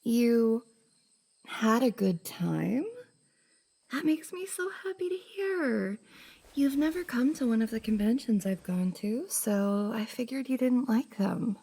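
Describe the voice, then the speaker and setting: A woman playing a shy, bubbly young cosplayer and affectionate best friend in an intimate roleplay ASMR, soft, hesitant and giggly. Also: fairly high pitch, animated, slow, heavily acted. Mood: playful, happy. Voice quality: soft, breathy, slightly husky. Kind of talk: F4M roleplay ASMR, intimate one-sided conversation.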